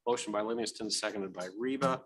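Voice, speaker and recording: Brisk man chairing a council meeting. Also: on the low side, fairly bright tone, slight background noise. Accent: Midwestern American. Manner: brisk, procedural.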